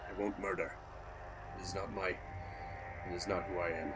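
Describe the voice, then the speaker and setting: A man voicing an earnest, principled wizard in a solemn, halting way, close to his own voice. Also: deep voice, level tone, music in the background, lightly acted. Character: earnest, principled male wizard fleeing an inquisitor.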